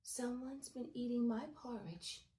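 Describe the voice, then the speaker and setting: A woman voicing a gentle mother bear with a soft, low voice. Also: a little slow, recorded from a distance, lightly acted. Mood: surprised. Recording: roomy echo.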